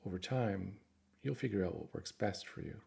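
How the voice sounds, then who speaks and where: A man narrating a guided meditation in a gentle, reassuring, instructive manner. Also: on the low side, moderate pace, gravelly.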